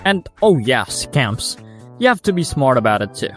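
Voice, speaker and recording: A man's voice: medium pitch, big pitch swings, moderate pace, a bit bright, faint music behind. A man with a Filipino accent, delivering a scripted commentary in a wry, blunt and sarcastic way. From Philippines. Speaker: YouTuber. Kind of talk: scripted video-essay commentary.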